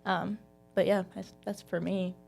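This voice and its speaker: A woman's voice: medium pitch, level tone, unhurried, gravelly, darkish tone, soft background music. A young woman from Texas chatting casually as a student guest, often dropping "like" into her talk. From Texas, United States. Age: young adult. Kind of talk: casual chat.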